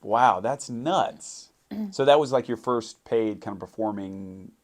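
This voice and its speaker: A man hosts an easygoing interview, his voice very low and deep. He asks loose, rambling questions and answers with short reactions. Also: very animated, takes it slowly. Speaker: podcast host. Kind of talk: casual interview chat.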